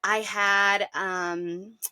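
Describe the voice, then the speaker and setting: A woman's voice: mid-range voice, little pitch movement, very slow, pushed and bright, no room sound. A woman co-hosting a podcast in casual chat, rambling a little with fillers as she talks. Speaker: podcast co-host.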